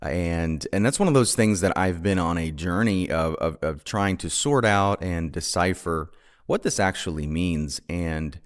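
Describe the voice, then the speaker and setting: A man's voice: low voice, a little slow. A man in his thirties hosting a solo podcast, talking through his past unscripted in an earnest, reflective way, often repeating words and restarting sentences. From Honduras. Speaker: podcast host.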